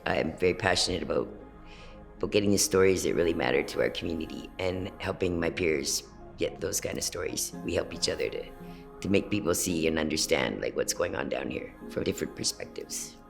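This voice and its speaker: A deep-voiced man with a creaky, gravelly edge reflects casually in an interview, with faint music underneath. His phrasing is halting and earnest, like a community reporter explaining what matters. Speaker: peer journalist.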